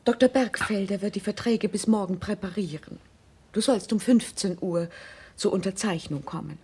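A steely middle-aged mother in a radio play. Her medium-pitched voice is husky, and she speaks with cool control, placing each point deliberately. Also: mellow. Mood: cool, curt. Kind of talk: German radio drama acting.

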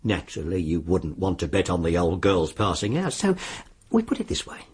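Sly disbarred lawyer, British accent, radio drama, insinuating and formal, lively low pitch, rough-edged voice. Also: male voice, brisk, heavily acted. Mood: businesslike, sly. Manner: smooth-talking, insinuating, politely formal. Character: suave, sly disbarred lawyer arranging sinister bets on deaths.

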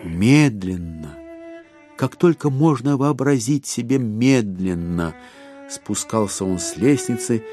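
Low-voiced male narrator over violin music. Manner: measured, expressive storytelling with deliberate pauses. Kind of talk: literary scripted narration in an audio drama.

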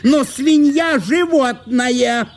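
A man's voice: very high voice, extremely slow, a little hiss or hum, pitched above the performer's own voice, exaggerated voice. A man playing a stubborn provincial landowner, plaintive and argumentative, in a dramatized literary story. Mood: indignant. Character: stubborn, indignant provincial landowner, protesting innocently.

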